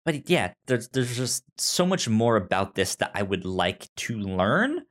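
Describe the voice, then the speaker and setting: A man co-hosting a podcast, chatting casually about films in a halting way, often repeating words and restarting. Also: lowish voice, sing-song. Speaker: podcast co-host. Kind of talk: casual film review chat.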